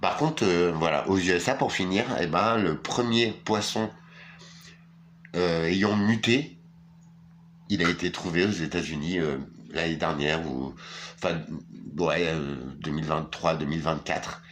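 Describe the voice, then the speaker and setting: A man's voice: low-pitched, a little slow, a bit bright, slight background noise. A tired middle-aged man from France, ranting casually to camera, crude and rambling with many fillers and restarts. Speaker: vlogger.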